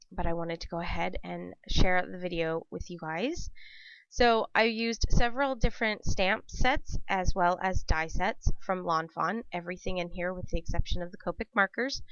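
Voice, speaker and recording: A woman's voice: lowish voice, a bit bright, dry recording. A woman hosting a casual craft tutorial voice-over, her throat scratchy and dry from a cold, chatty and rambling with many ums and self-corrections. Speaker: papercraft channel host. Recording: dull dry home voice-over.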